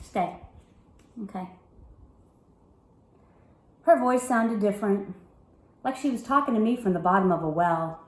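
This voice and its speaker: A woman reading a children's book chapter aloud, with expressive, deliberate and dramatic storybook phrasing. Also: medium pitch, roomy sound.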